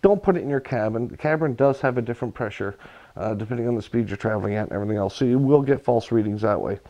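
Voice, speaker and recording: A man giving hands-on instruction in a workshop, talking viewers through his work in a relaxed, practical way while he works at the bench. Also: medium pitch, fast talker, dull audio. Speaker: aircraft builder demonstrating.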